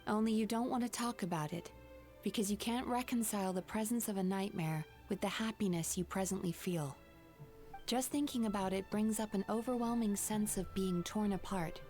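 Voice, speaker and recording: A woman playing a composed, earnest young dream therapist in a video game, speaking in a measured, formal, gently firm way. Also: medium pitch, close to an everyday voice. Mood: firm, probing. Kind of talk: English video game voice acting. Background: game music.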